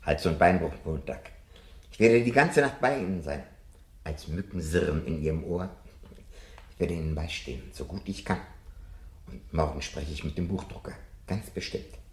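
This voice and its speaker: An actor playing a sly, fervent old professor in a 1970 radio play, theatrical and often dropping into breathy, conspiratorial whispers. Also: male voice, extremely low pitch, animated, normal speed. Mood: warm, encouraging. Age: older.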